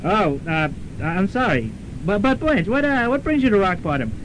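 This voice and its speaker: A man plays a nervous, fussy male professor with a high-pitched voice. He stammers and hesitates constantly, in radio comedy fashion, over a clearly noisy, rumbling tape. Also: big pitch swings, moderate pace. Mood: nervous, hesitant. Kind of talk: 1990s student radio comedy drama.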